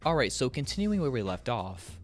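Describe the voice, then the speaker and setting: A man's voice: medium pitch, sing-song, brisk. A young man narrating an opinion video essay in a conversational, frank and emphatic way, sometimes swearing. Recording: music under the voice. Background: background music.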